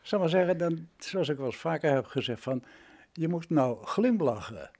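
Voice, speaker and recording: A husky-voiced man close to a hundred years old talks in an interview as a veteran radio drama director. He speaks reflectively, feeling his way through sentences, and agrees with emphasis. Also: a little high, lively intonation, moderate pace, darkish tone. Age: nineties, almost a hundred. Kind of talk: reminiscing interview conversation.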